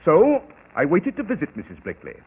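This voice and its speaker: Brief: a cool, clipped detective, raised voice, lively swings, tinny old radio sound. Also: male voice, on the high side, fairly quick, slightly rough, heavily acted. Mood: explaining. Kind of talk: 1940s radio acting.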